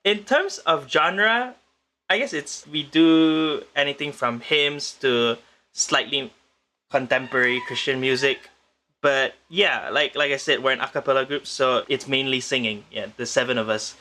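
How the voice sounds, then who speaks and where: A young man from Penang, Malaysia, a guest musician answering interview questions in a measured, thoughtful way, often restarting his sentences. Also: medium pitch, bright, forceful tone. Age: young adult. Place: remote location in the Philippines.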